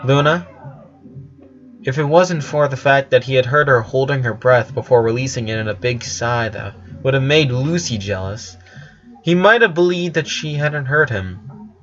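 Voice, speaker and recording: A man reading a story aloud as an expressive narrator, voicing dialogue between passages of prose. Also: mid-range voice, normal speed, dull audio. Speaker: narrator reading fan fiction.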